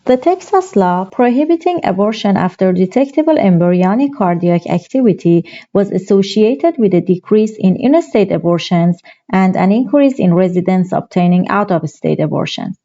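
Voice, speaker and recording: A woman's voice: medium pitch, animated, normal speed, warm tone. A woman reading a scripted exam practice passage aloud. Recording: dull, lo-fi audio.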